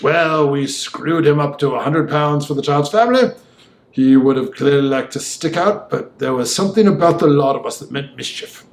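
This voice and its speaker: Man playing an emphatic gentleman storyteller, echoey room. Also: on the high side, expressive, moderate pace, creaky. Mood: matter-of-fact, wry. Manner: emphatic old-fashioned storytelling. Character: gentleman recounting a disturbing night, indignant and vivid. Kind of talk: audiobook dialogue monologue.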